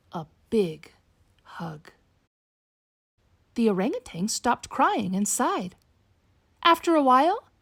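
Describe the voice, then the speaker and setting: A woman narrates a bedtime picture book for young children. Her voice is high and lively, swooping through the sentences at an unhurried pace, with a dry, close sound. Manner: slow, animated read-aloud delivery for young children. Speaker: teacher reading a picture book aloud to children. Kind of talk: expressive bedtime storybook narration.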